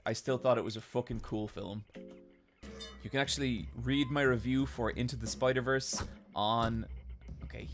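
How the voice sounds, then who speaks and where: Chatty Irish-accented man streaming a game. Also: medium pitch. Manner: chatty, reads and answers chat, swears casually. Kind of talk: casual live-stream commentary while playing. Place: home bedroom. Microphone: desk mic with webcam.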